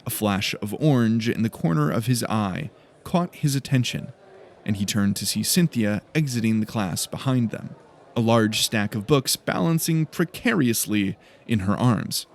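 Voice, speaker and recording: A man's voice: fairly low pitch, animated, normal speed. Man narrating a fantasy audiobook. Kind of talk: scripted story narration.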